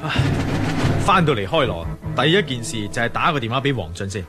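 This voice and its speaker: An actor playing an earnest adventurer in a Cantonese radio drama, with a Hong Kong accent. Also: male voice, medium pitch, sing-song, brisk, loud music behind the voice, lightly acted. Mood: calm. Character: Wisely, determined adventurer man, friendly but anxious.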